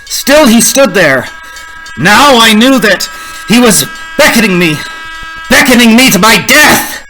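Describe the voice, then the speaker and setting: Man acting a haunted, frantic driver, bright intense voice. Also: very high-pitched, very animated, faint music behind, exaggerated voice, low-quality recording. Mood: rising panic. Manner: intense, dramatic, halting and stammering when agitated. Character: haunted, increasingly frantic male cross-country driver narrating his ordeal. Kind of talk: suspense radio play acting with first-person narration.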